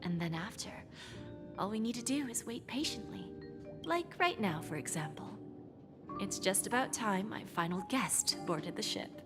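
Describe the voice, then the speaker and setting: A woman voicing a breathy, scheming pawnbroker. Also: mid-range voice, expressive, close to an everyday voice. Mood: sly. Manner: smooth, unhurried, teasing. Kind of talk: video game voice acting. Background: game music.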